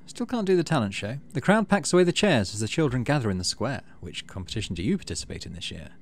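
A man hosting a gaming video, mixing casual commentary with expressive reading aloud of story text. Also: mid-range voice, quick pace.

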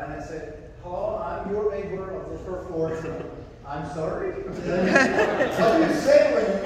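A young Salvadoran man with a high voice preaches in a Spanish-accented English, telling animated stories as he paces. He is picked up by a camera far off in a big, echoing fellowship hall with a long reverb tail. A faint hum of room noise sits behind him. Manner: animated storytelling, gesturing while pacing. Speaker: missionary. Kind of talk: sermon. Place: large church fellowship hall. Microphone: far camera, no mic.

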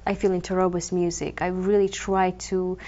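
A woman's voice: on the low side, level tone, normal speed, dark tone. Woman from Croatia, dull lo-fi TV sound. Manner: thoughtful, with frequent ums and restarts. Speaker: classical guitarist, guest. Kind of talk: interview conversation. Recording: dull lo-fi TV recording.

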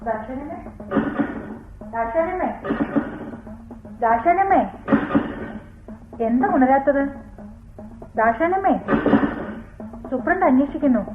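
A woman's voice: sits high, lively intonation, a little slow, long pauses. An actress in a radio drama playing an insistent woman attendant, calling out urgently to wake someone. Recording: tinny band-limited radio broadcast.

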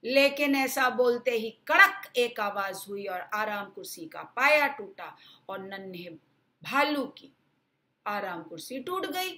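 A woman in her sixties narrates for small children. Her voice sits fairly high and swings widely in pitch. She stresses words dramatically and talks straight to her young listeners. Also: slow pace. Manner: animated, dramatic emphasis, addresses children directly. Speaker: children's storyteller, channel host. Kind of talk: expressive bedtime storytelling for children.

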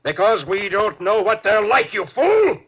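An actor playing a tense, suspicious, commanding starship captain in a 1950s science fiction radio drama, urgent and insistent. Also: male voice, high voice, very animated, unhurried, breathy edge, full character voice. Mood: tense, forceful. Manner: urgent, insistent, repeats orders. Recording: dull, noisy 1950s radio recording.

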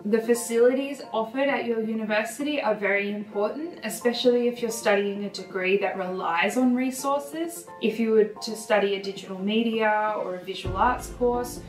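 A young woman presenting scripted advice about choosing a university, in a steady, instructive way with many questions. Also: mid-range voice. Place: bright office with a window. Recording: roomy, distant sound under music. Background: background music.

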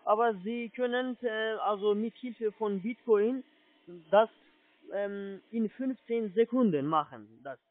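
A man with a foreign accent, not a native German speaker, giving a halting phone sales pitch and often checking he is followed. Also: no room sound. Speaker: telephone sales agent, scammer. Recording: muffled low-fidelity phone line.

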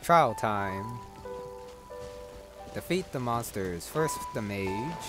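A man doing casual gameplay commentary, thinking aloud in a low-key way. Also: expressive, drawn-out words. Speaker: gamer. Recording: loud game music behind the voice.

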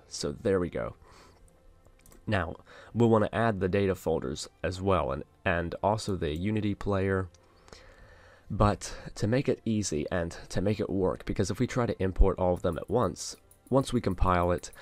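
A man hosting a casual software tutorial, talking through each step in an informal, instructional way. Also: deep voice, creaky, dry recording. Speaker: tutorial host. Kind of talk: casual software screen-share tutorial narration. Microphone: close mic, voice-over on a screen recording.

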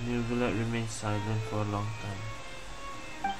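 A woman's voice: very deep voice, little pitch movement, a little slow, rough recording. A woman giving casual gameplay commentary, murmuring reactions and reading bits of the game aloud. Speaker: gaming streamer. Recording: game music behind the voice.

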